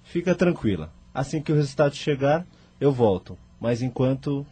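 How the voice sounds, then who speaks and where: Husky, calm male doctor. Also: mid-range voice, normal speed. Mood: calm, reassuring. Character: calm, matter-of-fact male hospital doctor. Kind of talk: radio soap opera acting.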